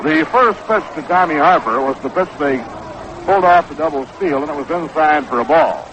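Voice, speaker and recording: A man calling baseball play-by-play on 1960s radio, measured and descriptive, on an old broadcast recording. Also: a little high, lively intonation. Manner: measured, descriptive calls that quicken on action. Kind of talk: 1960s live radio sports commentary. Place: outdoor ballpark broadcast position. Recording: noisy, dull vintage 1960s radio broadcast.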